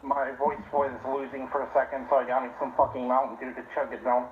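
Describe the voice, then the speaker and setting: A man's voice: medium pitch, level tone, fairly quick. A man co-hosting a livestream over a call, speaking casually and profanely while losing his voice. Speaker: co-host. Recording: tinny phone-like call line, roomy, faint noise.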